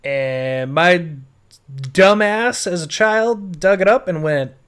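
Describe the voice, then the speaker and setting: A man's voice: sits high, expressive, slow, a bit bright, smooth. A man with an American accent telling personal stories casually, rambling informally and swearing. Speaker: podcast host.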